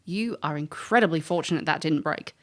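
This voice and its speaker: A woman's voice: sits low, a little fast, faint music behind, lightly acted. An actress playing a world-weary female elf, a former thief, dry and blunt in an audio drama. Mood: firm, dry. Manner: dry, blunt, matter-of-fact.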